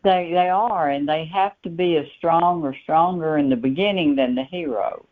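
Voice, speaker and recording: A woman author being interviewed, talking casually and haltingly with repeated words, on a thin phone line. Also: sits low.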